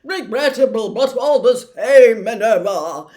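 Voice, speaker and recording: A woman voicing a pompous, blustering male government minister, loud and angry, in a storybook reading. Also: fairly high pitch, lively intonation, unhurried, pitched above the performer's own voice. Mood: loud, demanding.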